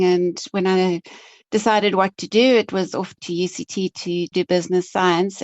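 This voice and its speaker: A South African woman speaks at an ordinary pitch. She answers interview questions conversationally and is quick to laugh at herself. The audio is dull and very dry. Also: no echo. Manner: laughs easily, self-deprecating. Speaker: investment officer guest.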